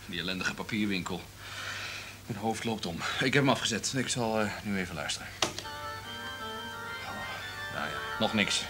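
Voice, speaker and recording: An actor in a radio drama playing a genial, absent-minded chief with an affable, hesitant manner. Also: male voice, on the low side, normal speed, pauses often, music in the background, natural voice. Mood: distracted. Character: genial, absent-minded male broadcasting chief buried in paperwork. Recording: noisy old radio drama recording.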